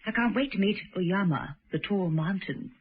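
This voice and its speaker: A woman's voice: medium pitch, moderate pace, a little hiss or hum, lightly acted. An actress playing a cool, self-assured British woman agent with a wry, playful manner in a radio drama. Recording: dull lo-fi old radio recording.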